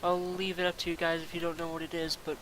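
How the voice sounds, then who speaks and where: Young guy w/ a cold, a bit raspy, rambling lets play talk, kinda high voice, noisy mic. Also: monotone, quick pace, fairly bright tone. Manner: chatty, self-deprecating, many ums. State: has a cold. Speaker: let's play commentator. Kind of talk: casual gameplay commentary.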